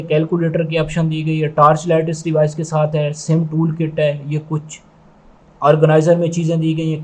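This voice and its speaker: A man's voice: on the high side, darkish tone, poor audio. A man giving product review commentary, explaining methodically and repetitively, step by step.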